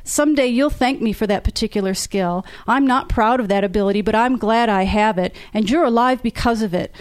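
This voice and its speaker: A woman's voice: on the high side, a little fast, dry recording, natural voice. A woman reading as a cool, mocking man. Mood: tight, mocking. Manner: clipped, mocking. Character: cool, controlled man, calm but tense.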